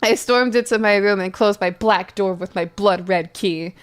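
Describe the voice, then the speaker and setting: A woman's voice: mid-range voice, normal speed, soft background music. A woman hosting a mock-dramatic reading of fanfiction, playful and theatrical, with casual asides. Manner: playful, theatrical, spells out misspellings. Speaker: host reading fanfiction aloud.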